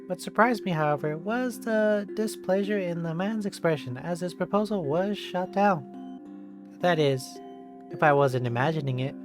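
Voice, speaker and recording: A game streamer reading a visual novel aloud in an expressive narrating style. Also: mid-range voice, normal speed. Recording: game music behind the voice.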